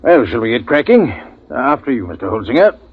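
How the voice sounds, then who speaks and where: Composed polite rajah, raspy lilting voice, muffled 50s radio drama. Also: male voice, mid-range voice, big pitch swings, fairly quick. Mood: courteous. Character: courteous Indian rajah, safari partner, composed hunting expert. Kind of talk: 1950s radio drama acting. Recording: dull vintage radio broadcast.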